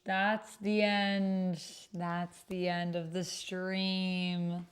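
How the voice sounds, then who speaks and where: A woman's voice: lowish voice, little pitch movement, very slow, clear, resonant tone. A woman hosting a livestream in casual, reactive chat, with a playful, exclamatory way of talking. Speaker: streamer and host of an actual-play stream.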